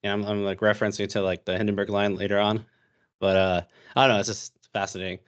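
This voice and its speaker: A man's voice: fairly low pitch, level tone, fast, clear, resonant tone, lo-fi sound. A young American man talking casually as a podcast guest, rambling with lots of fillers and restarts. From United States. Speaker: podcast guest, history YouTuber.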